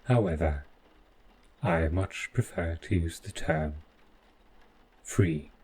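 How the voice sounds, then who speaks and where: A man playing an overprotective robot butler gone rogue, speaking with formal, measured politeness in a scripted audio roleplay, calm and possessive. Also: deep voice, husky, full character voice. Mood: calm, explanatory.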